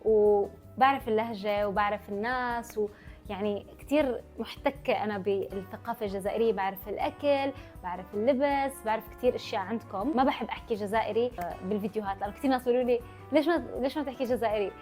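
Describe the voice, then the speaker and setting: Young levantine arabic woman vlogging, kinda high voice, talks super fast and chatty, eats half her words. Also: a little mellow, faint music behind. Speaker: vlogger answering followers' questions. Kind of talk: casual chatty Q&A to camera.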